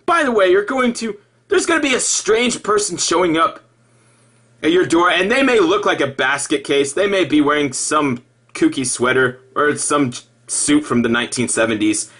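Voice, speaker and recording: Man, high voice, swooping animated intonation, mocking, profane, exasperated, improvised rant. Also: breathy edge. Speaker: video commentator.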